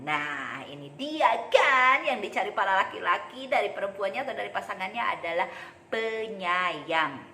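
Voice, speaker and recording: A young Sundanese-accented woman talks casually in a playful, teasing way. Her voice is bright and lively, rising and falling a lot. She sounds like she is in an echoey room with a faint hiss behind her. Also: mid-range voice. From West Java, Indonesia. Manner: playful, teasing, laughs at own jokes. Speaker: vlogger. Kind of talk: casual talk to camera.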